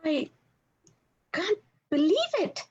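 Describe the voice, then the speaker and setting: A woman playing an excitable, vain, wisecracking male monster sidekick with a whiny, boastful delivery in a scripted read. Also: extremely high pitch, takes it slowly, highs cut off, slight background noise, exaggerated voice. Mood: starstruck. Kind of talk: podcast script table read.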